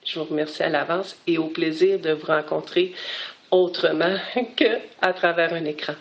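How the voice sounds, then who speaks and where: A woman's voice: low voice, steady tone, moderate pace, dull audio. A woman from Quebec, a citizen asking an earnest, read-out question in a recorded video message.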